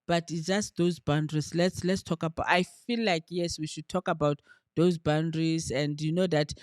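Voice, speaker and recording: A woman's voice: deep voice, steady tone, normal speed, a little mellow, no room sound, clean studio sound. A woman co-hosting a podcast in casual chat, talking earnestly and searching for her words. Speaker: podcast co-host.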